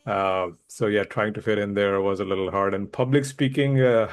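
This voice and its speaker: Lowish-voiced man. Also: steady tone, normal speed, clear, resonant tone. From India. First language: Hindi. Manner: candid, reflective, laughs easily. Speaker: guest, public speaking coach. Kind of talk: conversational interview.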